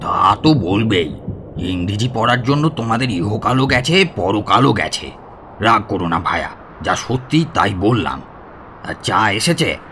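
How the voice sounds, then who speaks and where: An actor playing a boastful, chatty village tantric healer who tells ghost tales in a Bengali radio drama, with a Bengali accent. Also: male voice, on the low side, expressive, a little slow, a bit bright, slight background noise. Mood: chiding. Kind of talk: Bengali audio drama acting.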